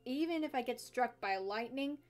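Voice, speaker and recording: Woman acting a high, excitable young pony athlete. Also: normal speed, close to an everyday voice. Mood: reassuring. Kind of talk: dramatic reading of a fan story. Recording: music under the voice.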